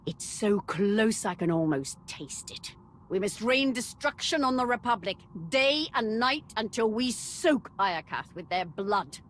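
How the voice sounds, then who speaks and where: An actress playing a proud, warlike empress in a video game, speaking formally and grandly. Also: female voice, medium pitch, expressive, a little slow, a little hiss or hum, close to an everyday voice. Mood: bloodthirsty, triumphant. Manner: haughty, formal, declamatory. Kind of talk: cinematic video game voice acting.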